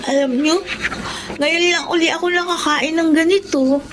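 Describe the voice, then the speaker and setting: A woman's voice: extremely high pitch, steady tone, moderate pace, noisy background. A woman playing a polite, grateful orphaned street girl in a Filipino radio drama, speaking meekly and earnestly. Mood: shy, grateful. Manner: respectful, meek, earnest. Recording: music under the voice.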